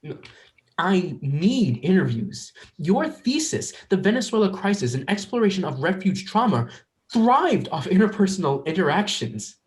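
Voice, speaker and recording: An earnest, insistent college researcher, acted by a young performer in a drama reading. The mid-pitched voice sits between male and female and sounds defensive. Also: very animated, moderate pace, natural voice. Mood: earnest pleading.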